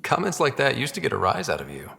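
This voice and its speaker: An actor playing a young, easygoing and wry explorer hero in a video game, with a husky voice. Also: male voice, mid-range voice, wildly swooping pitch, fast talker, a bit bright, in a large hall, heavily acted.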